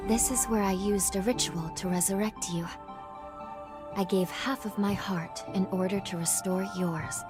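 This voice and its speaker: Actress as gentle young game heroine-guide, female, earnest and formal, soft-spoken, music underneath. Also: mid-range voice, lightly acted. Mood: gentle, grave. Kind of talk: video game cutscene acting and narration. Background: background music.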